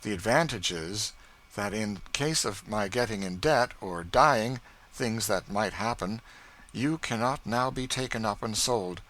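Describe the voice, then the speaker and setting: Man voicing earnest young master, formal, measured, low, bright. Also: poor audio.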